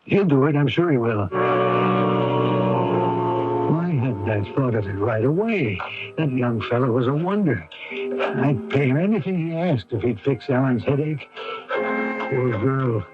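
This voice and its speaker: A man's voice: mid-range voice, lively intonation, unhurried, pauses often, loud music behind the voice, close to an everyday voice. An actor playing an anxious, reticent middle-aged father in a radio drama, hesitant and pressing, stammering at times. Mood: anxious hope. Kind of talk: radio drama acting with first-person narration. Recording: dull noisy AM radio broadcast recording.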